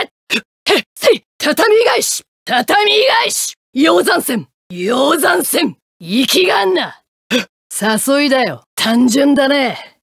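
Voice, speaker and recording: A brash one-eyed swordswoman from a fighting game. Her voice sits fairly high, yet she talks in rough, mannish, taunting phrases, hungry for a fight. The recording is close and dry. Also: a bit bright, dry recording, exaggerated voice. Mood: fierce battle shouts. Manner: coarse masculine speech, taunting. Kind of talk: Japanese fighting game voice acting.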